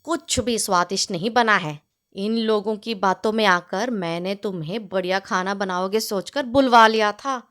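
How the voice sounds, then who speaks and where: A woman's voice: mid-range voice, moderate pace, a bit bright, pitched below the performer's own voice, close to an everyday voice. A woman voicing a fussy, bossy male village landlord in a storybook tale, demanding and curt in manner. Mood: scolding, displeased. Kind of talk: storybook character voice in narrated tale.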